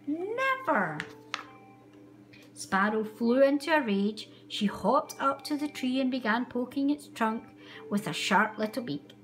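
A woman reading a children's storybook aloud as an animated narrator, with music behind her. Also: a little high, normal speed, rough recording. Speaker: storybook reader.

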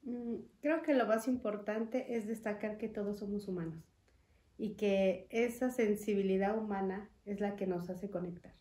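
A young woman from Chiapas, a guest in an interview, speaking earnestly and in a measured way with frequent fillers, at a desk mic. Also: medium pitch, mellow. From Mexico, Chiapas. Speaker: interview guest, foundation representative. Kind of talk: conversational interview. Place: studio room with wood panels and glass. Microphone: desk mic on stand. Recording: echoey room.

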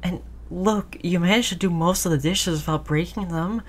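A woman playing a gentle human who soothes their android companion in an intimate roleplay audio drama, tender and reassuring. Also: fairly low pitch, moderate pace, close to an everyday voice. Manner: soothing, tender, hushed, repeating reassurances softly.

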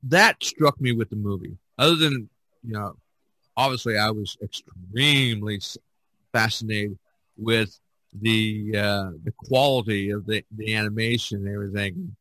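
A man's voice: lowish voice, a little slow, pushed and bright, no room sound, rough recording. A man hosting a podcast in casual discussion, rambling with many fillers and restarts. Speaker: podcast host.

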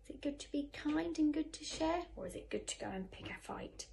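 A woman's voice: high-pitched, a little fast, creaky, a little hiss or hum. A woman, likely in her thirties, telling a picture-book story expressively to young children and putting questions to them. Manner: animated, asks the listener questions. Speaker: teacher reading a picture book. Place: living room sofa at home. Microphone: phone camera a short distance away.

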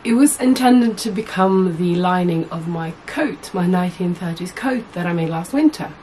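A young woman with a husky voice, hoarse from a cold, chatting to camera as a sewing vlogger in a small room at home, rambling and correcting herself often. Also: on the low side, expressive, moderate pace, mellow, a little hiss or hum. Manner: chatty, rambling, many fillers and self-corrections. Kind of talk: casual chat to camera.

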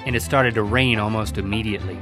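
A man in his thirties co-hosting a road trip vlog while driving, talking in a playful, animated way. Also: on the low side, fast talker. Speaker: vlog co-host, driver. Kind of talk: casual travel vlog banter and voice-over. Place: inside a moving truck cab. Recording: music playing under the voice. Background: music bed.

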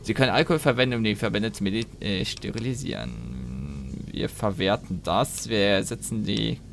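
A man giving casual let's play commentary, thinking aloud in a rambling, halting way and breaking off mid-thought. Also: on the low side, big pitch swings, gravelly, slight background noise.

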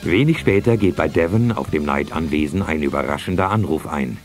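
An actor playing the calm narrator of a scripted audio drama. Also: male voice, rumbling low, brisk, over loud music. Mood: composed. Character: calm male story narrator.

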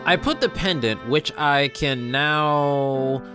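A man's voice: fairly high pitch, slow pace. A young adult man reading visual novel text aloud as a gaming host, stumbling now and then and adding casual reactions. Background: game music.

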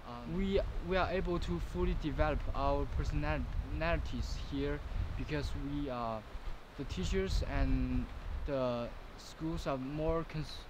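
A man's voice: on the high side, takes it slowly, few pauses. A teenage boy from China, an international student, speaking hesitantly with many fillers in an outdoor interview. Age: teens. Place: outdoors on a lawn by woods. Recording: clear outdoor background noise.

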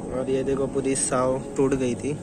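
A man's voice: medium pitch, monotone, a little slow. A young man, narrating a casual walking vlog outdoors on a rocky seashore, filling his talk with "matlab". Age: young adult. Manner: chatty, leans on filler "matlab". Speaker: vlogger. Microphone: phone camera held at arm's length. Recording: loud music bed under voice.

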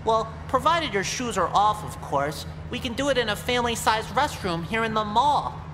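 A woman's voice: fairly high pitch, animated, normal speed, natural voice. Woman playing an awkward creepy nerdy guy, echoing. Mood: eager, persuasive. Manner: awkward, overeager, fawning. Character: creepy, eager, awkward nerdy young man. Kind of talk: voiced visual novel dialogue. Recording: long hall reverb, clear background noise.

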